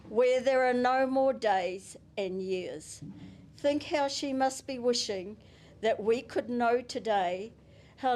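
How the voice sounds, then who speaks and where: A woman with a New Zealand accent giving a eulogy at a memorial service, reading a prepared tribute and a poem gently into a handheld microphone. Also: a little high. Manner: gentle and unhurried, with soft chuckles. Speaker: family member giving a eulogy.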